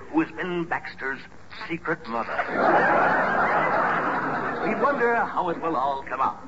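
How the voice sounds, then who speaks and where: An actor playing a melodramatic soap opera announcer with a breathless run-on delivery in a 1940s radio comedy. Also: male voice, mid-range voice, natural voice. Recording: very noisy, dull 1940s radio recording.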